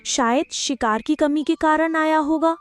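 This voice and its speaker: A woman voicing a frightened little cartoon goat, anxious and fretful, with a very high voice. Music plays softly behind. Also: measured pace. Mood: worried. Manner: anxious, fearful. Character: timid worried female goat named Rani.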